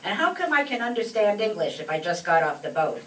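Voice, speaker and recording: A woman's voice: medium pitch, very animated, a little fast, recorded from a distance, natural voice. Woman playing an indignant old immigrant mother, echoey stage. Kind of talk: comic old-time radio skit acting. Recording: echoey stage recording from afar, faint noise.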